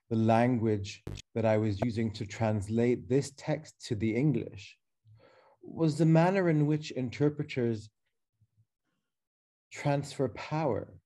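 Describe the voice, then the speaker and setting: A man in his thirties reading a prepared keynote as curator over a webcam video call, slow, measured and reflective, with pauses. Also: lowish voice, mellow. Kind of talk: reading a prepared lecture text. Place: dark room indoors.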